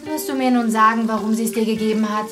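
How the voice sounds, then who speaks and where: A woman's voice: a little high, steady tone, quick pace, music in the background, natural voice. A woman playing a loyal but blunt housekeeper who asks probing, direct questions in a radio drama. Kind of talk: German radio drama acting.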